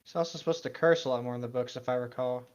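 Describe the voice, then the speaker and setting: A man's voice: mid-range voice, rapid-fire. Dull lo-fi male co-host voice. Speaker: co-host reading and reacting to fan fiction. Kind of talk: casual chat and read-aloud commentary. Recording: dull, lo-fi microphone sound.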